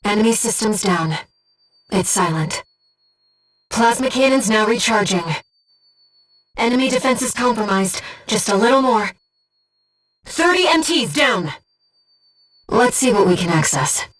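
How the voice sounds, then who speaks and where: A woman playing a gentle, disembodied female mission operator in a video game, earnest and composed, with clearly enunciated tactical callouts. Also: mid-range voice, animated, a little slow, pauses often, distant mic, faint music behind, rough recording. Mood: composed, focused, then urgent, alarmed. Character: gentle disembodied female voice guiding a mercenary pilot.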